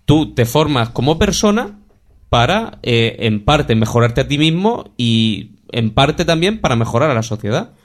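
A man co-hosts a talk show discussion. He talks in a hesitant way, with fillers and small restarts, at a medium pitch. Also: very animated, a little slow.

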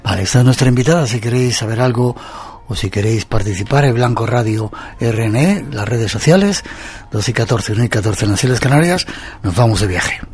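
A man's voice: medium pitch, a little fast. A man hosting a live radio interview in a studio, asking chatty, joking questions. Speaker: radio host.

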